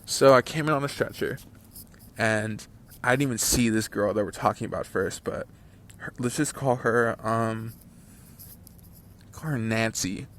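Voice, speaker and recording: A man's voice: lowish voice, a little slow, long pauses, fairly bright tone, a little hiss or hum. A man in his twenties casually telling a long story, rambling with many fillers and restarts. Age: 20s. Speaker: channel host telling a story. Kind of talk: casual story time over gameplay.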